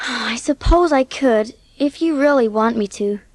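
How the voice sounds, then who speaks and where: A woman's voice: fairly high pitch, unhurried, no echo, close to an everyday voice. A woman playing a fiery, imaginative eleven-year-old orphan girl in a dramatised audiobook, speaking earnestly and dramatically in formal words. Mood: subdued.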